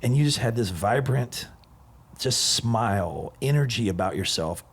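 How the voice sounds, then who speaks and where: A man's voice: mid-range voice, expressive, measured pace, husky. A man in his forties hosting a podcast, telling stories in an enthusiastic, rambling casual chat. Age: 40s. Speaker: podcast host.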